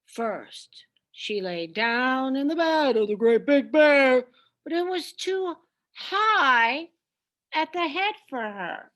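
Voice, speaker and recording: A woman reading a children's story aloud with expressive, deliberate, dramatic narration. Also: sits high.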